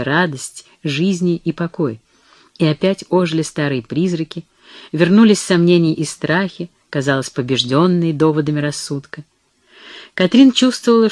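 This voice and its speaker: A narrator giving a measured, even reading of a historical novel as an audiobook. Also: mid-range voice, no echo.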